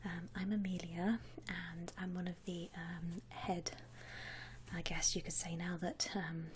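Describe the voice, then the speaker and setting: A woman playing a friendly, attentive saleswoman in a whispered ASMR roleplay, speaking softly in soothing customer-service patter with endearments. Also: on the low side, flat delivery, unhurried, a little hiss or hum, close to an everyday voice. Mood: polite, attentive. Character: friendly attentive saleswoman at a vampire coffin shop. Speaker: ASMR performer.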